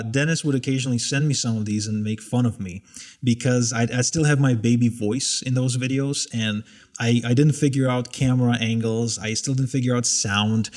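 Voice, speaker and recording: A man's voice: mid-range voice, a little mellow. Candid self-mocking man in his thirties. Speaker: interviewee sharing business mistakes. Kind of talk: casual conversation.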